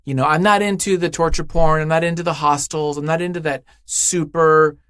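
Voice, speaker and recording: A man's voice: a little high, steady tone, a little fast, a bit bright, smooth, dry recording, clean studio sound. A man speaking as an interview guest in casual, unscripted chat, effusive and emphatic, often thinking aloud.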